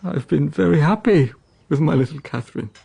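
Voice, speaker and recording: Creaky-voiced, weary gentleman. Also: a little high, expressive, brisk, heavily acted. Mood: weary, fearful. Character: ailing, weary gentleman father, gentle, anxious, protective. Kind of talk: period audio drama acting.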